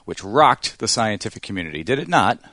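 A man with a low voice presents a segment on a podcast. He talks conversationally and a little wordily, with a playful announcer streak. The sound is dry and close. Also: very animated, a little fast, bright, forceful tone, dry recording.

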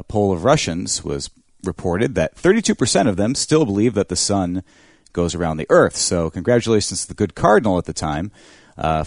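A man co-hosting a talk show, delivering a history segment in a lively, playful way. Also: sits low, no room sound, clean studio sound. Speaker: podcast co-host. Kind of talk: casual chat.

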